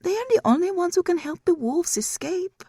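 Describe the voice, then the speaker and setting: A woman's voice: extremely high pitch, animated, moderate pace, warm tone, no room sound, studio quality, voice pushed up. A woman voicing a scheming, mocking demon mother in an audiobook.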